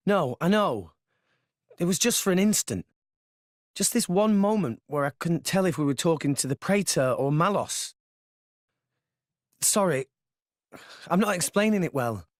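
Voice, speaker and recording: An earnest, expressive boy hero. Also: on the high side, a little slow, pauses often, no echo, clean studio sound. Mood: uneasy, unsure. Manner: hesitant, sincere. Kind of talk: video game cutscene acting.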